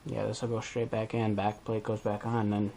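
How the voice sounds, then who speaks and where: A man's voice: fairly low pitch, completely flat delivery, normal speed, gravelly, dark tone, a little hiss or hum. A man hosting a DIY video, talking through a hands-on tutorial in a casual, informal way as he thinks aloud.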